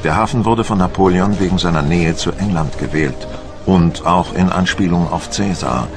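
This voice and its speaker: Actor as very deep-voiced Frenchman of Napoleon's time. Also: moderate pace, natural voice. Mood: measured, grave. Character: Frenchman of Napoleon's time recounting invasion preparations. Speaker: narrator. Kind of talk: scripted documentary narration, in character. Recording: music behind the voice.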